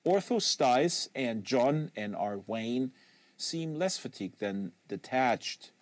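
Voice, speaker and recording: A man's voice: medium pitch, a little mellow, dry recording. Man reading a novel aloud, dry studio sound. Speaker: audiobook reader and livestream host. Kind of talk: live literary novel reading, acted dialogue. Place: small sound-treated studio. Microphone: close studio microphone.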